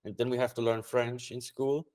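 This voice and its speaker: A man's voice: mid-range voice, flat delivery, moderate pace, a little mellow. A man from Switzerland whose first language is Swiss German, speaking English with a Swiss German accent as a guest on an interview podcast, plain and matter-of-fact. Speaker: trader and guest. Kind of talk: casual interview chat.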